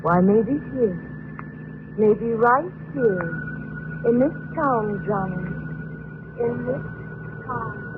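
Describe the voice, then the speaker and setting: A woman playing the patient, haunting ghost of a murdered wife in an old radio horror drama. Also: fairly high pitch. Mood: eerie, weary. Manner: slow, haunting, insistent. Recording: noisy muffled old radio recording.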